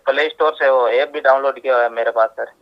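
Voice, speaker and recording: A man's voice: mid-range voice, steady tone, fast talker. A man speaking deferentially and hesitantly as a caller on a phone conversation. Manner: deferential, hesitant, often says sir. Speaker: caller, job aspirant. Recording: dull lo-fi phone call recording.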